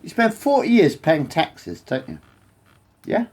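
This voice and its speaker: Rambling, lively man. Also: a little high, a little slow, husky. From England. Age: 40s. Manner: repetitive, swears often, digresses. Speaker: vlogger. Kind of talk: rambling monologue to camera.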